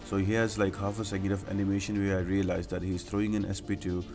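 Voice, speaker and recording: A man's voice: sits low, flat delivery, fast. A man giving casual, step-by-step game walkthrough commentary in an explanatory, instructional way. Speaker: gaming video creator giving fight tips. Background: music behind the voice.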